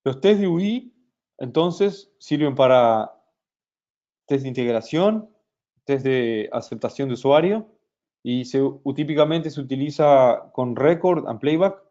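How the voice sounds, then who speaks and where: A man presenting a software product in a webinar, talking slowly with many fillers and restarts. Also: mid-range voice, expressive, highs cut off. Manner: hesitant, many fillers and restarts, speaks slowly in Spanish for a Brazilian audience.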